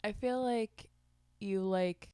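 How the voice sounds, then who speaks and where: A woman's voice: medium pitch, takes it slowly, dark tone, smooth, dry recording, clean studio sound. A young American woman chatting casually as a guest on a podcast. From United States. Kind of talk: casual chat.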